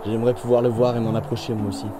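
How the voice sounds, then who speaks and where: Over music, a man acts an earnest young companion in an audio drama. His delivery is quick and level in pitch, sounding loyal and sincere. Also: level tone, close to an everyday voice. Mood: hopeful. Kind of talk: French audio drama acting.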